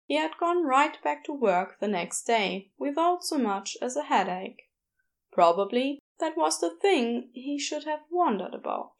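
A woman reading fiction as an audiobook narrator, expressive, with wry, deliberate emphasis. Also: on the high side.

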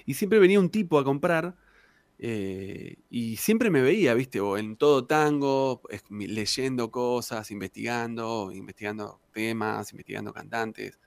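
An Argentine man in his thirties with a gravelly, creaky voice, telling his story as an interview guest. He rambles in a relaxed, chatty way, with fillers and questions tacked onto the ends of his lines. The sound is close and dry. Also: medium pitch, unhurried, no echo. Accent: Argentine Spanish. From Argentina, Santa Cruz province. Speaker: tango singer, interview guest.

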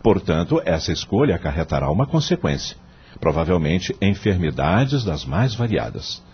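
An actor playing a wise, kindly male law professor in a radio drama, with a Brazilian accent and a husky voice, measured and moralizing. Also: low-pitched, very animated, lo-fi sound, heavily acted. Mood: earnest, didactic. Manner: didactic, measured, moralizing, rhetorical questions.

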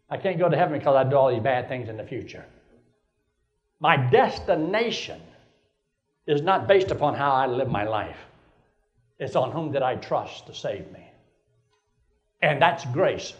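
High-voiced older preacher in his seventies. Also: a bit bright. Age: 70s. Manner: preaching with rising emphasis, rhetorical questions. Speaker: pastor. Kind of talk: sermon.

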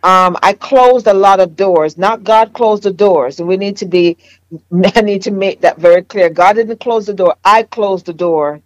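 A woman's voice: medium pitch, measured pace, no echo, rough recording. A woman with a Jamaican accent, a therapist sharing her faith story in an earnest, reflective conversation. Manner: earnest, reflective, frequent fillers. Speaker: therapist and business owner.

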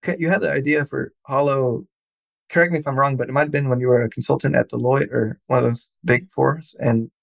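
A man's voice: mid-range voice, fairly even intonation, brisk, creaky, no echo. A man hosting a podcast, chatting loosely with a guest and laughing often. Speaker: podcast host. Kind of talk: casual interview chat.

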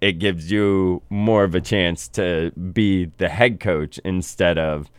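Slow, deep-voiced radio host. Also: male voice, steady tone, smooth, dry recording. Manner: halting, many restarts, slow. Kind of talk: casual interview.

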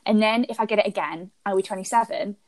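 A woman's voice: medium pitch, fast, dry recording, rough recording. A woman, co-hosting a radio show and telling stories in a chatty way, acting out the people she quotes. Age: early 20s. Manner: chatty storyteller, lively quoted voices. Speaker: radio co-host. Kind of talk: casual chat.

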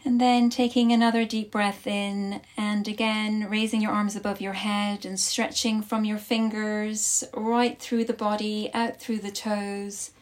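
A woman leading a guided yoga nidra class as instructor, speaking soothingly in short instructive phrases with long pauses. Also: mid-range voice, little pitch movement.

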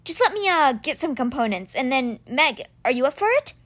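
A woman voicing an overwhelmed teenage boy, talking high and strained. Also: very animated, moderate pace, fairly bright tone, heavily acted. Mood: anxious.

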